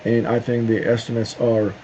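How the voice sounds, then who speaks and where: A middle-aged man from Turkey, speaking a halting opinion monologue to camera with many pauses and "uh"s. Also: mid-range voice, flat delivery, mellow. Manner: halting, searching for words with frequent "uh" and "um" pauses. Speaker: video host. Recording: dull lo-fi sound with faint noise.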